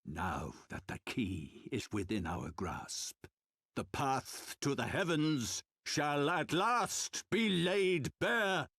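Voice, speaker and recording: A grandiose fantasy game villain speaks slowly and with stately formality. His pitch sweeps widely through each theatrical phrase, and the recording is close and dry. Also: lively intonation, fairly bright tone, dry recording, exaggerated voice. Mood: grandiose, triumphant. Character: imperious male zealot leader, grandiose, coldly triumphant villain. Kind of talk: dramatic fantasy video game acting.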